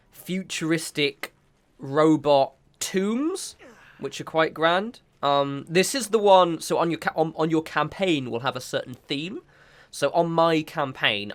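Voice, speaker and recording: A man's voice: a little high, a little slow. A man casually commenting on a game he is playing, sniffly from a recent cold, rambling and correcting himself as he goes. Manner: rambling, self-correcting, chatty asides. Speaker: gaming channel host.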